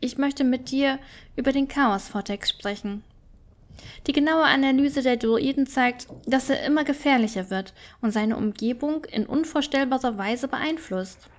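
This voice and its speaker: A small fox-like sage from a fantasy game, read aloud by a woman, talks in a slightly high voice. The creature is courteous and inquisitive, with formal phrasing. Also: normal speed, lightly acted. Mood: earnest.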